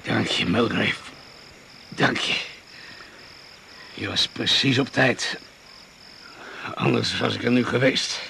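Gravelly, dogged doctor. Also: male voice, mid-range voice, steady tone, slow pace, pauses often, pushed and bright, full character voice. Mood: grateful. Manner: intense, dramatic. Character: dogged, vengeful older male doctor, fatherly underneath. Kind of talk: radio drama acting. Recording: noisy old radio play recording.

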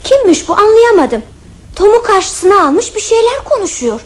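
A cheeky, mischievous younger sibling in a radio play. The voice is very high and lively, full of teasing. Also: female voice, moderate pace. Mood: playful. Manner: teasing, playful. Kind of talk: radio drama acting.

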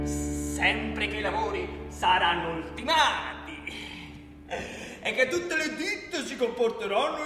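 An actor playing a sardonic narrator in a political radio play, explaining in an emphatic, didactic way with ironic rhetorical questions. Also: male voice, high-pitched, heavily acted. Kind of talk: theatrical scripted narration, civic storytelling. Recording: echoey long-reverb hall, distant from microphone.

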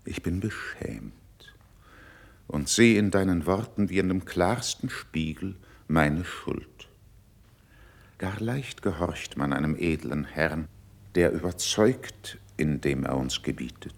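Stern older courtier, gravelly, widely varied intonation, haughty verse delivery, radio drama. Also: male voice, sits low, expressive, heavily acted. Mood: humbled. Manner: haughty, measured, rhetorical. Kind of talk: classical verse stage acting for radio.

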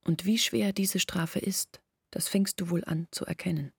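An actress playing an earnest, bitter village woman in a German radio drama, reasoning insistently and intimately in a husky, creaky voice. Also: fairly low pitch, steady tone, a little slow, dry recording, clean studio sound, full character voice. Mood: grave, insistent.

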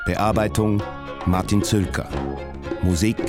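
Slow, low-voiced male announcer over loud music. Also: flat delivery. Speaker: credits announcer. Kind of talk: scripted radio drama announcement.

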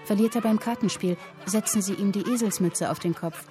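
An actress playing a woman who reads a literary tale aloud in a measured, expressive storytelling manner, in a radio drama. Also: mid-range voice, soft background music, close to an everyday voice. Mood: measured, intent. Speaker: narrator reading a literary account. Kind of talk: dramatic literary narration in present tense.

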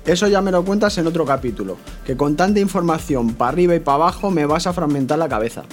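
A man's voice: a little high, expressive, quick pace, soft background music. A man with an accent from Spain, bantering as a curious co-host in colloquial, exclamatory scripted conversation.

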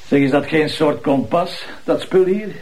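An actor playing a sardonic, self-assured police commissioner with a Flemish accent, mocking and probing in a naturalistic TV crime drama. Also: male voice, on the high side, unhurried, a little mellow, slight background noise, natural voice. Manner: mocking, probing, dryly ironic.

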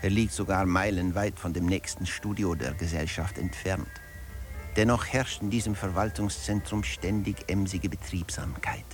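An actor playing a management theorist who lectures with sly satire and emphatic, deliberate phrasing in a radio drama. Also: male voice, sits low, steady tone, soft background music, close to an everyday voice. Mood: wry, instructive. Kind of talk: scripted audio drama lecture.

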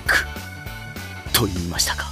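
A man's voice: a little slow, lightly acted. A man playing a devoted, over-zealous butler in an anime-style audio drama, speaking in formal, grandiose, fervently loyal phrases. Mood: grave, formal. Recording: clear music bed behind the voice.

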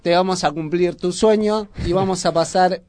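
A man's voice: high-pitched, fairly even intonation, normal speed, darkish tone, no room sound, faint music behind. A man with a Buenos Aires Argentine accent chatting casually as a radio host.

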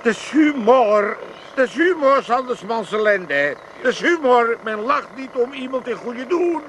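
Excitable old amsterdam granddad, radio comedy, voice jumps all over, stammers and repeats, bit of noise. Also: male voice, very high-pitched, measured pace. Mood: gleeful, lecturing. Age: older. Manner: pompous, stammering, repeats himself. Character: blustering, excitable old Amsterdam father, cackling at others' misery. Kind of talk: 1980s comedy radio play acting.

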